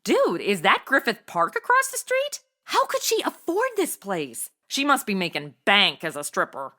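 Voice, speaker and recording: An actress playing a breezy, tactless young podcaster with flippant modern slang in a comedy audio drama. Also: female voice, medium pitch, huge pitch swings, moderate pace, sharp tone, heavily acted. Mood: chatty.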